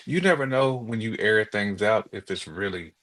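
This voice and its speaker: Male podcast co-host, very low voice, casual talker who thinks out loud with repeated words. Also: lively intonation, moderate pace. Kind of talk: casual conversational discussion.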